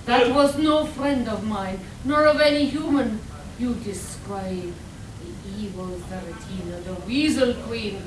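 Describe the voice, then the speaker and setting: Woman playing slow, melodramatic villager. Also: a little high, lightly acted. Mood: dramatic, excited. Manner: melodramatic, emphatic. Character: earnest, excitable rescued villager. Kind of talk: live radio play acting. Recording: echoey, noisy hall recorded from far off.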